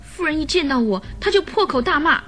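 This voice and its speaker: A woman's voice: sits high, animated, brisk, fairly bright tone, soft background music. An actress playing a stubborn, defensive young woman suspected of murder in a radio drama, guarded and dramatic. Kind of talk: Chinese radio drama acting.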